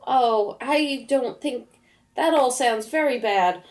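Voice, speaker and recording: A woman's voice: a little high, takes it slowly, darkish tone. A woman player in a tabletop roleplaying game, casually improvising as her character and describing her actions.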